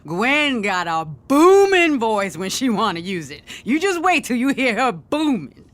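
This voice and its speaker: A woman's voice: on the high side, big pitch swings, unhurried, fairly bright tone. An actress playing a brash, proud, working-class middle-aged mother in a naturalistic audio drama, folksy and emphatic. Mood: proud, cheery.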